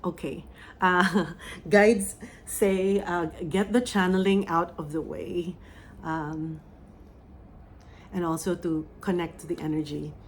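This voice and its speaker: Filipino woman medium, lowish voice, unhurried meditative delivery, soft pauses, channeling tone. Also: little pitch movement, slight background noise, low-quality recording. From Philippines. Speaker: psychic medium. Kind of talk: oracle reading and channeled message.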